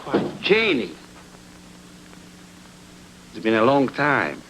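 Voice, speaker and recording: A man's voice: mid-range voice, very animated, slow, fairly bright tone, slight background noise, rough recording. A man playing a suave, coolly menacing crook in a noir radio drama, polite, dry and controlled.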